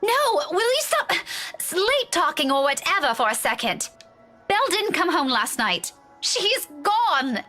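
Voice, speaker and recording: A woman playing an anxious, fussy and sharp-tongued young schoolteacher in a visual novel game. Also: very high-pitched, big pitch swings, unhurried, full character voice. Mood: urgent, exasperated. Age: young adult. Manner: urgent, insistent. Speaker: game voice actor. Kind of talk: dramatic visual novel dialogue. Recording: music behind the voice.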